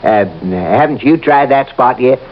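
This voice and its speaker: Homespun camp owner, plain-spoken, old-time radio drama, muffled. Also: male voice, medium pitch, animated, measured pace. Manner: folksy, drawling, plain grammar. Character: folksy male fishing-camp owner. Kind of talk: 1950s radio drama acting. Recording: dull old radio broadcast, faint hiss.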